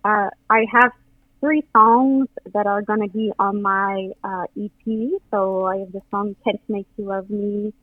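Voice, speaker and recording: A woman's voice: mid-range voice, steady tone, a little slow, dry recording. French-accented woman on a tinny phone line. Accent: Quebec French. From Quebec. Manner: hesitant, many fillers and restarts. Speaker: guest musician. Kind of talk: casual interview over the phone.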